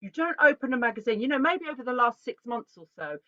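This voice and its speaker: A woman talks through a presentation in a chatty, animated, self-interrupting way. Her voice sits a little high. The sound is dry and dull, like lo-fi audio from a video call. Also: quick pace, no room sound. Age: 40s. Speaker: artist giving a talk. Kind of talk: casual conference presentation.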